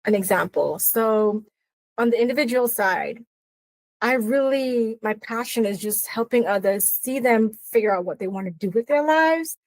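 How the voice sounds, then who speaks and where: A woman's voice: on the high side, animated, normal speed, no room sound. Trinidadian woman, earnest and dry-sounding. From Trinidad and Tobago. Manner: earnest, with frequent fillers and restarts. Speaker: guest, career coach. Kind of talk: interview conversation.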